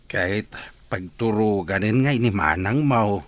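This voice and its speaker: A man's voice: fairly low pitch, unhurried, dry recording, close to an everyday voice. Actor as Ilocano family man, dry sound. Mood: concerned. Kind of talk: Ilocano radio drama acting.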